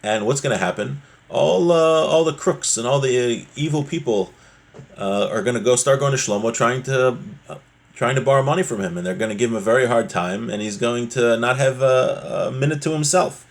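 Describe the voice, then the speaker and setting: A man teaches a religious text in an informal lesson, with a mid-pitched voice. He explains each point conversationally, posing short rhetorical questions as he goes. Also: a little fast.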